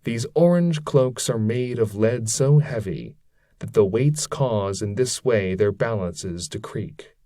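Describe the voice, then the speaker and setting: A man's voice: lowish voice, slow pace, dark tone, dry recording, clean studio sound. A man voicing a grieving damned friar in a formal, weary, archaic way for an epic poem. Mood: mournful. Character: grieving damned friar, hypocrite's shade in leaden cloak. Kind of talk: dramatic reading of epic verse.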